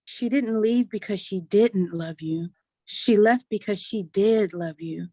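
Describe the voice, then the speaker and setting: An earnest adult daughter in a stage drama, read by an actress. She speaks persuasively to her family at a middle pitch. The sound is dry, with little room. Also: a little slow, warm tone, no room sound, natural voice. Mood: earnest, reassuring. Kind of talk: staged play reading.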